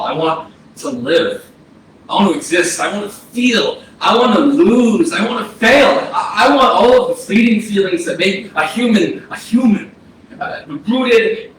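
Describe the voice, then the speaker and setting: A man's voice: high voice, lively intonation, measured pace. A male actor playing a dead young man, bitter and yearning to live, in a blunt, impassioned staged play reading. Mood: desperate yearning. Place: arts center theatre, staged reading. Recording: distant, very echoey hall, noisy air conditioning.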